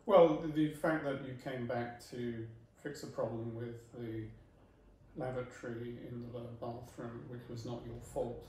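A man in his fifties, a homeowner giving measured, polite feedback in a casual interview at his dining table. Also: medium pitch, steady tone, a little mellow. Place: home dining room, indoors. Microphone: far camera. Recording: echoey room, far from mic, noisy.

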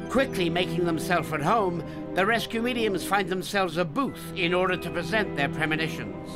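A man's voice: sits high, normal speed. A man reading scripted documentary narration for television as the narrator. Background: music.

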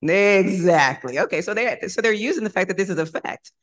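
A woman moderating a conference panel on stage, lively, putting rhetorical questions to the audience. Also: medium pitch, fairly quick. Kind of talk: panel discussion.

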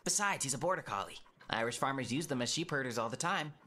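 A man's voice: mid-range voice, lively intonation, fast talker, sharp tone, slight background noise, pitched above the performer's own voice. A man voicing an eager, friendly young man with an earnest manner in an audiobook.

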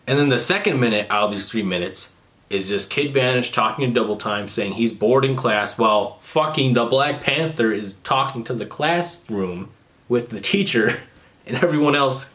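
A man's voice: mid-range voice, a bit bright. A young man recording a casual commentary video in a small bedroom. He is sarcastic and swears a lot as he mocks what he is reviewing. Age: young adult. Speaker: commentary youtuber.

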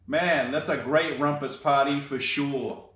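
A man in his thirties reading a picture book aloud, slow and expressive, with playful asides, seated in an armchair indoors. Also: mid-range voice. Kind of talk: children's storytime read-aloud. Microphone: camera on a tripod a few feet away. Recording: roomy, slightly distant sound.

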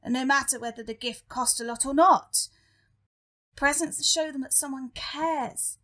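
A meek little demon underling, acted by a woman for a comic audio play. The voice sits a bit high and moves slowly, hesitating with earnest care over each thought. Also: a bit bright. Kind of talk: comic audio drama acting.

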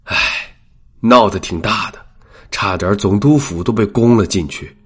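A household steward for an audiobook drama. His voice sits on the low side with a husky grain. He speaks cautiously and with deference. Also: moderate pace. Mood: grave reporting. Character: wary deferential household steward, a grown man.

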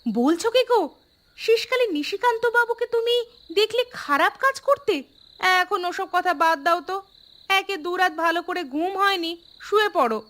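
An actress playing an anxious, skeptical village wife in a cartoon, fretful and questioning. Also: female voice, very high voice, moderate pace, fairly bright tone. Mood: startled, scolding.

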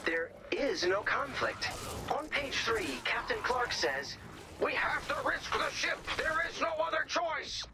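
A man's voice: extremely high pitch, sing-song, unhurried, background noise, full character voice. A man voicing an officious, polite conflict-resolution robot in a video game, formal and earnest. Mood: critical, earnest. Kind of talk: video game character voice acting.

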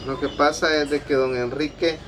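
A man's voice: a little high, steady tone, normal speed, background noise. A man in his thirties with a Guatemalan Spanish accent, hosting a casual interview on the patio of a home, friendly and full of fillers. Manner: friendly, talks with many fillers like "va" and "pues". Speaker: host of a charity video channel. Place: outdoor concrete-block patio of a home. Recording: distant camera sound, birds and ambience behind.

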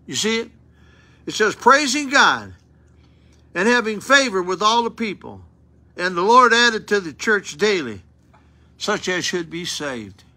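An older man preaching an informal sermon in a small church, folksy and loud, asking the room rhetorical questions. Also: high voice, very animated, unhurried, long pauses. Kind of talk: informal sermon with Bible reading. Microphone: phone camera close up.

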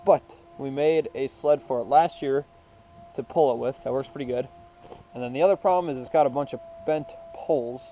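A young man chats casually to the camera about his plans, drifting along with easy filler words. The sound is a bit dull, as from a small action camera, and steady noise sits clearly behind his voice. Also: mid-range voice, brisk. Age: young adult. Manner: laid-back, rambling, lots of "so" and "yeah". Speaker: vlogger. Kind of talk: casual vlog narration. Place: garage, outdoors in snow, inside a truck.

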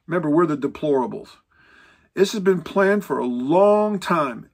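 A man in his sixties teaching to camera from a book-lined home study, emphatic and animated, stressing points by raising his voice. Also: normal speed, gravelly. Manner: emphatic, pitch rising when stressing lists; animated, gesturing with both hands. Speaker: Bible teacher. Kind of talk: lecture-style teaching to camera. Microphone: seated close to a fixed camera.

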